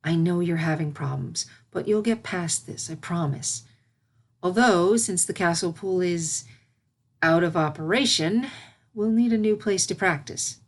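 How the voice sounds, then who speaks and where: Woman as a patient, gentle pony princess mentor. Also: lowish voice. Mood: soothing. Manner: measured, instructive, consoling.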